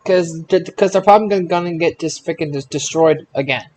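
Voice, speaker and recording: A man hosting a casual panel podcast about wrestling results, speaking in an emphatic, excitable way. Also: fairly high pitch, fast, a little hiss or hum, rough recording. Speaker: podcast host.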